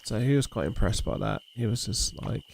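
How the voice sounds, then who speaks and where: Gravelly, low-voiced man chatting. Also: brisk, background noise. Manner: relaxed, rambling, talks to chat and reads messages aloud. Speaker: livestream host. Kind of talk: casual chat while streaming a fishing game.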